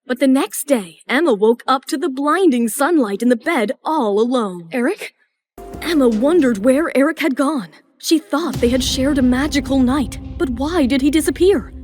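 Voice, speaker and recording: High-pitched woman narrating a romance serial. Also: lively intonation, normal speed, hardly any pauses, darkish tone. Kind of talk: dramatic scripted narration of a romance audio serial. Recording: music bed under the voice.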